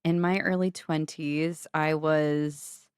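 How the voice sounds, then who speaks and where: A woman in her mid twenties co-hosting a family podcast, speaking in a measured, slangy casual way. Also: low voice, fairly even intonation, smooth, no room sound, clean studio sound. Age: 20s. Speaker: podcast co-host.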